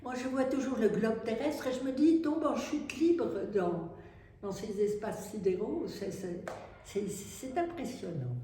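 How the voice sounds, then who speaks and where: An older woman from France, a Carmelite nun, speaking in a halting, wry way with many restarts. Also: mid-range voice, dark tone. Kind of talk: interview testimony. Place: nursery building in Syria. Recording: echoey room, distant mic, faint noise.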